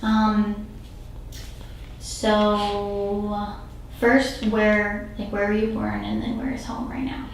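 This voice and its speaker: A woman's voice: mid-range voice, flat delivery, slow, vocal fry, a little hiss or hum. A young woman interviewing a student, asking her questions hesitantly with fillers. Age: young adult. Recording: roomy echo, speaker distant from the mic.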